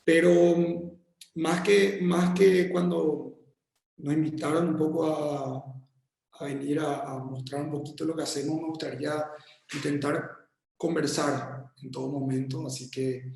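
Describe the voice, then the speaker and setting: A man with a Paraguayan accent giving a casual remote talk as a guest architect, halting and friendly, often repeating his words. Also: on the high side, steady tone, gravelly, darkish tone, heavy reverb, rough recording. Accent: Paraguayan Spanish. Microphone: video call.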